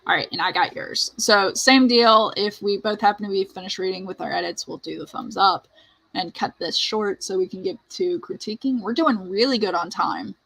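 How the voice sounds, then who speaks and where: Bright, husky woman, chatty. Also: mid-range voice, normal speed, low-quality recording. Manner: chatty, informal, peppered with "like". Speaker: livestream host. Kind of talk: casual chat on a writing livestream.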